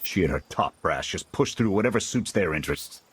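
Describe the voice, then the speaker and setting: A man's voice: low-pitched, expressive, fairly quick, husky. An actor playing a jaded male military commander in a video game, talking in terse, clipped soldier phrases. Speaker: squad commander. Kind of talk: video game cutscene acting.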